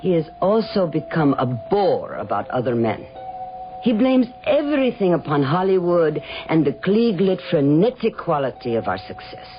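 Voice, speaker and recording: Low-voiced, haughty film star. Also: female voice, sing-song, moderate pace. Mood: disdainful. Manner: imperious, arch, disdainful. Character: haughty, strong-minded film actress, defiant and worldly. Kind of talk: 1970s radio drama acting. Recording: muffled old radio recording with music.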